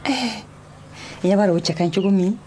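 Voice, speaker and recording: A woman's voice: mid-range voice, fairly quick, darkish tone, a little hiss or hum, close to an everyday voice. A woman playing a character who chats with a girl in conversational film dialogue. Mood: animated.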